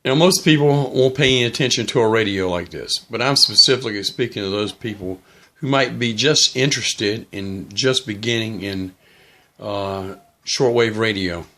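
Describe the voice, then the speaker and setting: A middle-aged man casually explaining and demonstrating as a hobbyist vlogger, in a plain-spoken, unhurried way. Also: on the low side, bright, forceful tone. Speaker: vlogger, shortwave radio hobbyist.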